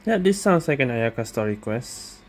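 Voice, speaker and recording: A woman's voice: a bass voice, big pitch swings, moderate pace. A woman giving casual let's play commentary as host of a gaming video. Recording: game music behind the voice.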